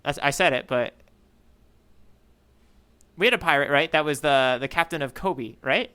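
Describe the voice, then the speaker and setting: A man with a crisp, bright voice co-hosts a casual podcast. His pitch sits a little high, and he rambles playfully, breaking into his own sentences as he thinks aloud. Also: moderate pace. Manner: playful, rambling, self-interrupting. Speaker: podcast co-host. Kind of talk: casual readalong podcast chat.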